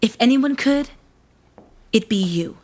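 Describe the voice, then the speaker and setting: An actress playing a tender, supportive wife in a dramatic stage musical. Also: female voice, a little high, animated, a little slow, a little mellow, slight background noise. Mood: gentle. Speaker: musical theatre actor.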